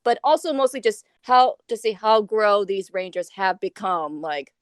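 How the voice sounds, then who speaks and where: A woman's voice: mid-range voice, expressive, moderate pace, clear, resonant tone, no room sound, clean studio sound. A woman chatting casually as a guest, searching for words as she speaks. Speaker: cast member, guest. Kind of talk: casual discussion. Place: remote online voice call.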